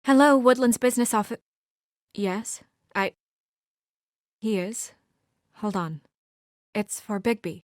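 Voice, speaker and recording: An actress playing a brisk, exasperated, dutiful young professional woman in a video game, speaking in a crisp, earnest, businesslike way. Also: on the high side, no room sound, clean studio sound. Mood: careful, deferential.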